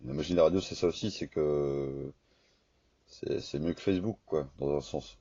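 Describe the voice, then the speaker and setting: Very deep-voiced man in casual radio chat. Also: normal speed, dark tone, dry recording. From France. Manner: rambling, hesitant, colloquial, often ending on "quoi" and "hein". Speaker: radio amateur operator. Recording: slightly dull, lo-fi radio-chat recording.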